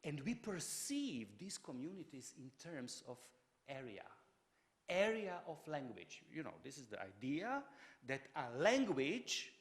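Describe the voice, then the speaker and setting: Halting man lecturing in a hall. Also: mid-range voice. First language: Croatian. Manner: halting, many fillers and restarts. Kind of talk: public lecture.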